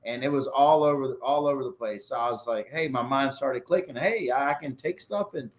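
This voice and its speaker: A man's voice: mid-range voice, normal speed. Easygoing talkative man in his forties. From Pakistan. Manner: easygoing, talkative, loose storytelling with fillers. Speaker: restaurant owner, guest. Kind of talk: casual interview storytelling.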